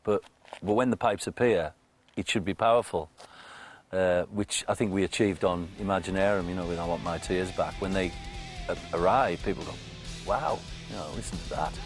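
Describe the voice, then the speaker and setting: A man's voice: deep voice, normal speed. A man, bluntly and eagerly describing a new album in a documentary interview. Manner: enthusiastic, blunt, swears casually. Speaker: band member. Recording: music playing underneath.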